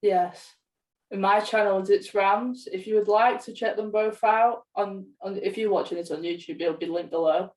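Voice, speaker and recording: A woman's voice: mid-range voice, steady tone, normal speed, a little mellow, distant mic, low-quality recording. A young woman co-hosting a podcast, chatting casually and explaining things in a plain-spoken way. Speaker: podcast co-host. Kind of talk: casual chat.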